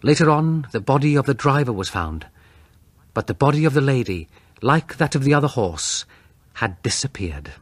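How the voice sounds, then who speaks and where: A man narrating a Gothic tale as an audiobook, theatrical and deliberate in his phrasing. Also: mid-range voice, sing-song, dry recording.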